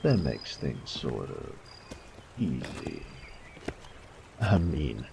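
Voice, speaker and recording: A male actor voices a sadistic bandit with a gravel-thick, bottom-of-the-range voice. He drawls slowly in coarse slang, teasing and menacing by turns. It is an audio roleplay drama, with noticeable ambient noise behind him. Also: exaggerated voice. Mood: amused, scornful. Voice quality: gravelly, creaky low voice.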